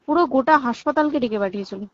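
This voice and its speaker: Woman, high voice, Kolkata Bengali accent. Insistent, confiding explainer who keeps checking she's understood. Muffled, low-fidelity call sound. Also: animated, fast talker. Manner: explanatory, insistent, often checking the listener follows. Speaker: hospital worker. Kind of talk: casual private conversation, recounting events.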